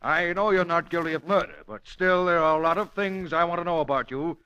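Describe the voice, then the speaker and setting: A gentlemanly but blustery police commissioner in vintage radio style. His voice is fairly high and bright, close and dry, with formal, emphatic, self-satisfied delivery. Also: a little fast, clean tone, no echo, dull audio. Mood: indignant. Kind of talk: old-time radio crime drama acting.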